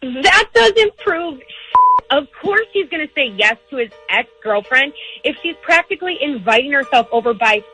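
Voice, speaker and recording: A woman's voice: sits high, animated, moderate pace, bright, forceful tone, faint music behind. A woman on a radio phone call, arguing in a defiant, combative way and swearing. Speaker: radio show call participant.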